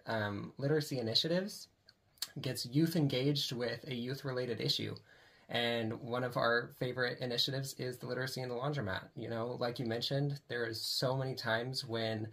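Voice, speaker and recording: A teenage male student guest on a video call, giving a prepared, earnest answer. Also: mid-range voice. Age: teens. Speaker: student guest, youth committee chair.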